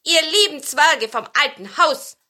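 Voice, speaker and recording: Storybook role: kindly old talking broom, male, earnest and polite, bright, lively delivery from a female reader. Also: high voice, a little slow, voice pushed up, full character voice. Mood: pleading.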